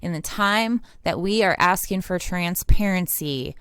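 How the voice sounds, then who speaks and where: A woman's voice: fairly low pitch, unhurried, a bit bright, clear, resonant tone, dry recording, studio quality. An American woman giving impassioned, unscripted political commentary, blunt and indignant, swearing freely. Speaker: independent online political commentator and activist.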